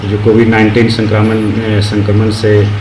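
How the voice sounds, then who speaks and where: A man with an Indian accent giving a halting interview statement as an official. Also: fairly low pitch, monotone. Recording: roomy echo with clear background noise.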